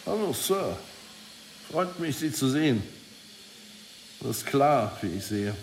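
Slow, sly, deferential henchman. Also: mid-range voice, very animated, slight background noise, poor audio. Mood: smoothly apologetic. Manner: polite, deferential, unhurried. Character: Bronx, sly, deferential hired accomplice. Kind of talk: radio drama acting.

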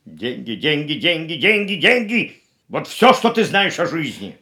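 An elderly, thrifty uncle in a radio play. He scolds and dismisses in an exasperated, emphatic way. His pitch leaps about in an animated manner. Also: a little slow, background noise. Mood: indignant. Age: older.

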